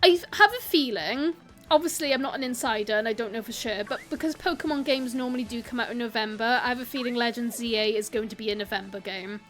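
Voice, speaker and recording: Young woman, South Welsh accent, high voice, giggly and chatty, streaming with soft game music behind. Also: fast talker. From South Wales. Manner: chatty, giggly, greets viewers, rambling asides. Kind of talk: casual live-stream chat while gaming.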